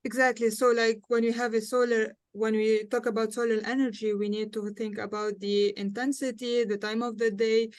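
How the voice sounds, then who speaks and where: Casting: young Lebanese-accented woman to moderate a panel, lightly high pitch, very dry sound. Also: level tone, moderate pace, hardly any pauses, no echo. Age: young adult. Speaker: panel moderator and student engineer. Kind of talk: hosting a panel discussion.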